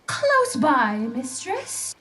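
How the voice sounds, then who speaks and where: An eager, airy spirit acted by a woman in a Shakespearean audio play. The voice is high and the pace slow, with theatrical pauses and swoops through the verse as the spirit serves a sorceress. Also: wildly swooping pitch, sharp tone, slight background noise, exaggerated voice. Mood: proud, reporting. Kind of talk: Shakespearean audio drama acting.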